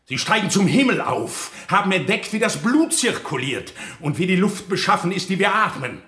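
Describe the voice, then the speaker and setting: A fired-up visionary professor lecturing in a radio play, highish voice, big echoey room. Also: male voice, sing-song, moderate pace, sharp tone, exaggerated voice. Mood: solemn, fervent. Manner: rhetorical, solemn, rousing. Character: visionary, fervent male chemistry professor lecturing. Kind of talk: declaimed lecture in radio drama. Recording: echoing hall acoustic.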